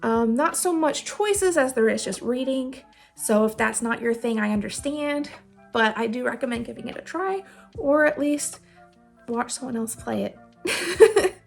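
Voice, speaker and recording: A woman with a fairly high voice chats informally as a video host making recommendations. Her delivery is giggly and rambling, with a self-deprecating touch. Soft music plays behind her. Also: expressive, a little slow, gravelly. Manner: giggly, laughs often while talking. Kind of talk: casual chatty recommendation vlog. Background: music playing under the voice.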